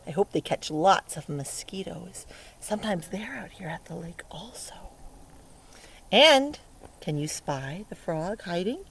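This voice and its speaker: A woman reading a picture book to young children in a playful, expressive way, pausing to ask them questions, recorded outdoors. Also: fairly low pitch. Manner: playful, expressive, asks children questions, acts out sound words. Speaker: early learning teacher reading a picture book aloud. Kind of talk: interactive read-aloud for young children. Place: outdoors by a lake. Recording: steady outdoor ambient noise by water. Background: outdoor lakeside ambience.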